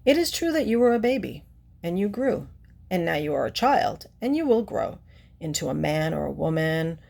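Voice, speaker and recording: A woman's voice: lowish voice, big pitch swings, moderate pace, creaky, a little mellow. A woman reading a picture book aloud to children, adding simple teacherly explanations and putting questions to the listener. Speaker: children's read-aloud presenter.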